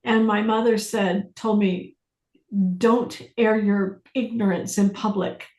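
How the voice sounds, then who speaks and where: A woman facilitating a self-inquiry class, speaking deliberately with pauses, questions and phrases repeated for emphasis. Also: mid-range voice, fairly even intonation, darkish tone. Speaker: workshop facilitator leading a group class. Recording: roomy echo on the voice.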